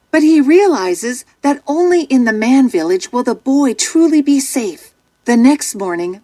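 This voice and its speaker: A woman narrating a children's storybook from a script in an expressive, dramatic storytelling manner. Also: on the high side, dry recording.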